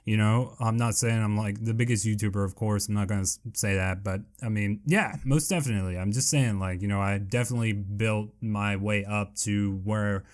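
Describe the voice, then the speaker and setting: A young man with a deep voice answering viewer questions in a casual, rambling way, full of fillers. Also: fairly even intonation, moderate pace, few pauses. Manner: rambling, heavy with fillers and "I guess you could say". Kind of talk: casual Q&A chat.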